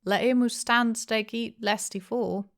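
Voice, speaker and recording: A woman's voice: fairly high pitch, fairly even intonation, measured pace, voice pushed up. A woman voicing a querulous, sententious old village man in an audiobook, with feeble, complaining rustic speech. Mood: irritated, sententious.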